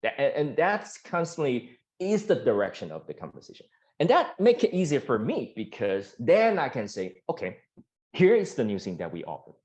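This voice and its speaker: A man from Taiwan, a startup founder answering investor questions earnestly, with frequent restarts and fillers. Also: moderate pace. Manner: earnest, many restarts and fillers, rises in pitch when animated. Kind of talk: pitch Q&A answers. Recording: dull lo-fi sound.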